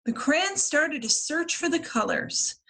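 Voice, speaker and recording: A woman with a fairly high voice reads a picture book aloud for young children. Her pace is very slow, and her phrasing is deliberate and expressive, as a librarian's at story time. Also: gravelly. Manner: expressive, deliberate phrasing with acted-out character lines.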